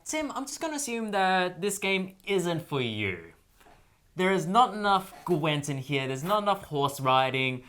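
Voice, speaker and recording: A man hosting a video game show in casual, jokey, animated fan talk. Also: high voice, unhurried, faint music behind. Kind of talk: casual chat.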